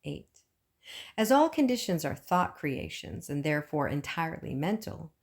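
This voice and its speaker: A woman reading a book aloud as scripted narration, with measured phrasing and frequent pauses. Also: low voice, very animated. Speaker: audiobook reader.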